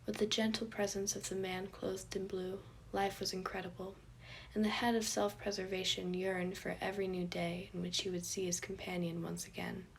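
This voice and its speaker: A woman reading scripted fiction as a narrator, with a slightly husky, breathy voice. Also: medium pitch, flat delivery, normal speed, a little hiss or hum. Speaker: podfic narrator.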